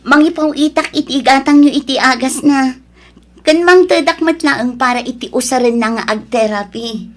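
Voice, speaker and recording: A woman acting a high-voiced, coy young mistress. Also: normal speed, close to an everyday voice. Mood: caring, worried. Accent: Ilocano. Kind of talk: melodramatic radio drama acting.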